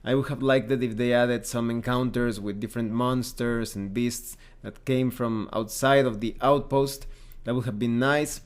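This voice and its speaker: A man speaks as a reviewer hosting his own channel. His delivery is measured and explanatory, laying out points in semi-scripted commentary with emphatic wording. His voice sits at a middle pitch. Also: steady tone. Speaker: tabletop game reviewer and channel host.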